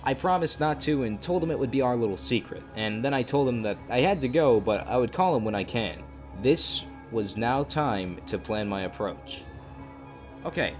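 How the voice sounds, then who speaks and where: A man telling a story and speaking directly to his audience and others as a YouTube host. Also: lowish voice. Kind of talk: scripted story narration and confrontation.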